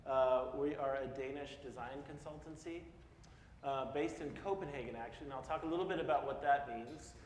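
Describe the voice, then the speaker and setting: A man's voice: medium pitch, a little fast. A man in his forties from Peoria, Illinois, giving a casual, self-deprecating conference talk and asking the audience questions. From Peoria, Illinois (US Midwest). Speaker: speaker at a public talk. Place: large hall in front of an audience. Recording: echoey hall with faint noise.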